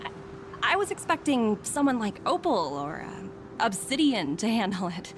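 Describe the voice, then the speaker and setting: A woman's voice: on the high side, sing-song, normal speed, background noise. An actress playing a proud, guarded young businesswoman in a video game, curt and easily irritated. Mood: surprised, wary. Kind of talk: dramatic game dialogue.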